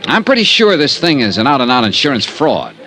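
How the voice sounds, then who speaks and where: An actor playing a dogged insurance investigator in an old-time radio drama, American, assertive and wry. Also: male voice, mid-range voice, wildly swooping pitch, brisk, sharp tone. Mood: insistent. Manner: assertive, wry, hard-boiled. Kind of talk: old-time radio detective acting and first-person narration.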